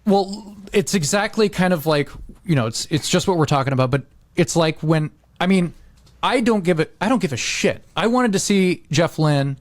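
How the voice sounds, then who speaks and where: A man's voice: a little high, animated, moderate pace, no echo. A middle-aged man chatting casually on a podcast in a rambling, blunt way, with some swearing. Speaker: podcast host. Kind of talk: casual chat.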